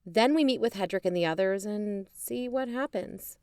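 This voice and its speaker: A woman's voice: medium pitch, normal speed, darkish tone, no room sound, studio quality, lightly acted. A woman acting a blunt, pragmatic young investigator in a naturalistic audio drama, businesslike and skeptical.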